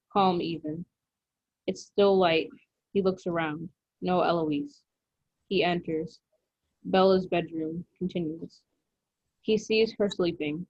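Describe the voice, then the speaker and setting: A woman narrates a screenplay's action lines in a fairly low voice. The recording is dry and somewhat dull, with little room sound. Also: a little mellow, no echo. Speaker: stage directions reader. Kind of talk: scripted narration, screenplay read aloud.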